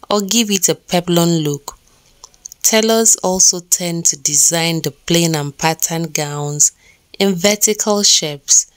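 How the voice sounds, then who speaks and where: A woman with a slightly low voice reads scripted fashion narration. Her delivery is measured and explanatory, recorded dry and close with very little room sound. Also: no echo.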